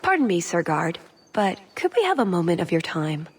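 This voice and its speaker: A woman voicing a quick-witted, scheming young elf in a video game cutscene. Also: on the low side, big pitch swings, husky. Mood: mock urgency.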